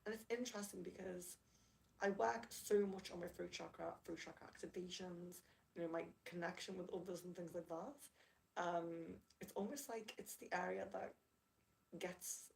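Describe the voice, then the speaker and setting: Creaky-voiced woman on a livestream. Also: fairly low pitch, moderate pace, darkish tone, rough recording. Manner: apologetic, chatty, reads out viewer comments. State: losing her voice, slightly hoarse. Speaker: livestream rune reader. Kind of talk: casual livestream chat.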